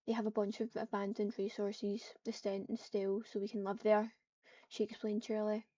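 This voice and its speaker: A woman reading roleplay lines aloud as she plays, in a flat, subdued way. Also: medium pitch, moderate pace, dry recording. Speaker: online roleplayer. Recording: muffled, distant mic with keyboard typing.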